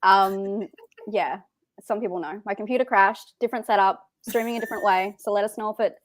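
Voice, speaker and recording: A woman with an Australian accent hosting a casual film livestream, rambling along in an excitable, giggly way. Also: mid-range voice, brisk, dry recording. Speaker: host of a film talk show.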